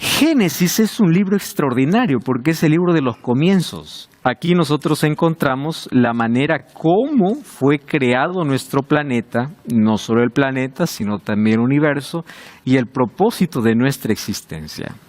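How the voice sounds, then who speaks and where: A man's voice: medium pitch, expressive, moderate pace, a little mellow. A man hosting a televised Bible study, welcoming and speaking directly to the viewers. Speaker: host of a Bible study show.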